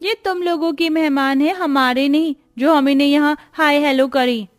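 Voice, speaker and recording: Woman doing a snotty spoiled cartoon kid, really high, talks back, music behind. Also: fairly even intonation, moderate pace, close to an everyday voice. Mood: insolent. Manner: bratty, insolent. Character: rude, spoiled rich child, defiant and snooty. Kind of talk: Hindi cartoon moral story voice acting.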